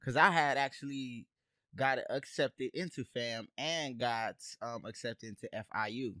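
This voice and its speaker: Animated young man from Haiti. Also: medium pitch, a little slow, no echo, clean studio sound. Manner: animated storyteller, says "bruh" and "man" a lot. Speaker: podcast co-host. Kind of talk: casual chat.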